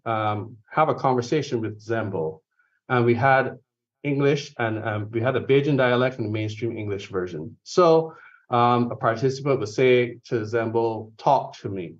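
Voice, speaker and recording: A man in a mid-range voice presents his research findings, explaining methods patiently. He stumbles into frequent fillers and corrects himself mid-phrase. The lo-fi recording sounds dull and catches him from a distance. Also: mid-range voice. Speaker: conference presenter on robotics research. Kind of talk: academic research talk. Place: conference venue or online session.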